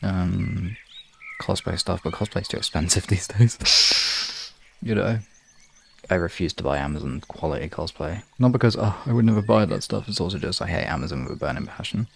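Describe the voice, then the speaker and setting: Creaky low-voiced man, rambling casually. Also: animated. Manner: halting, rambling, informal with swearing. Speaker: streamer chatting with live viewers. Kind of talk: casual livestream chat. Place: room in an apartment. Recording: clearly noisy home room recording.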